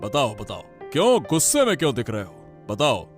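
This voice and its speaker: A man's voice: mid-range voice, huge pitch swings, normal speed, faint music behind. A man voicing a shrewd, jovial king who probes his courtier with stately, repeated phrases in a Hindi cartoon. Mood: welcoming, curious. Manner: stately, probing, repeats himself. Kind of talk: Hindi cartoon moral-story dubbing.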